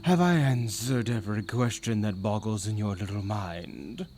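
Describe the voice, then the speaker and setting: A man voicing an ancient, smug demon tempter in a dark romance audio roleplay, with playful, sinister, theatrical delivery and drawn-out teasing words. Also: on the low side, a little hiss or hum, close to an everyday voice. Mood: gleeful taunting. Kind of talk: dark romance ASMR character monologue. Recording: voice over dark ambient music. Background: loud dark ambient music.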